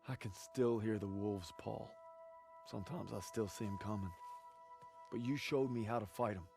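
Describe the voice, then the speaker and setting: A man's voice: on the low side, normal speed, gravelly. An actor playing an earnest, haunted young farm boy soldier from Texas, speaking solemnly and plainly with a Southern accent in a war game. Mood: solemn, reflective. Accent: American South, Texas. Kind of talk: cinematic war game acting with reflective narration. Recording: cinematic music behind the voice.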